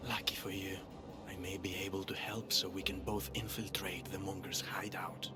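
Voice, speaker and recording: Over loud music, a man acts out a scheming, falsely humble traitor from a video game underworld. His lowish voice is smooth and deliberate, with breathy, ingratiating courtesy that sinks toward a whisper. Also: little pitch movement, lightly acted. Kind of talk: video game cutscene acting.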